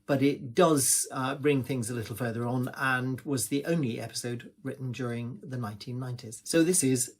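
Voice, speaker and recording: A man's voice: medium pitch, moderate pace, few pauses. A man giving a spoken introduction to a piece he wrote and performs. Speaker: writer-performer introducing own monologue.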